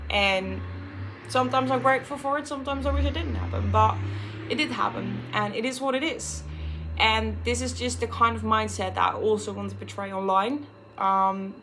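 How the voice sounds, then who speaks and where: A woman's voice: medium pitch, a bit bright, slight background noise. A woman in her twenties from the Netherlands, speaking English with a Dutch accent, talking frankly and swearing freely in a candid personal vlog. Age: 20s. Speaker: vlogger.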